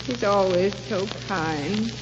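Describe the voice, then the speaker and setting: An actress in an old radio drama playing a timid, anxious invalid wife who speaks in a faltering, plaintive way. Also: female voice, medium pitch, slow, close to an everyday voice. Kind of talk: 1930s radio drama acting. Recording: muffled, hissy 1930s radio recording.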